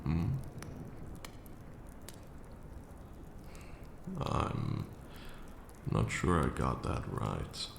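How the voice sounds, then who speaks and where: A man playing an ancient, brooding vampire lord in a slow ASMR roleplay monologue, hushed and grandiose, with measured archaic phrasing. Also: expressive, heavily acted. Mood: calm, disdainful. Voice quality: very deep, gravelly, hushed. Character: ancient male vampire lord, brooding, cruel yet now weary. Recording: roomy echo, audible background noise.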